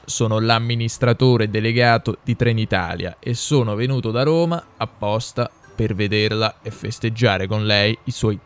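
Man playing quiet, weary elderly gentleman. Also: mid-range voice, smooth, close to an everyday voice. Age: older. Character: elderly retired male teacher, secretly a chief executive.

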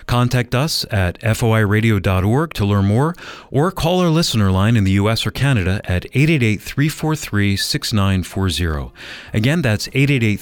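An American man reading scripted radio sign-off announcements in a polished, clearly enunciated way. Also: fairly low pitch. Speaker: radio announcer and executive producer.

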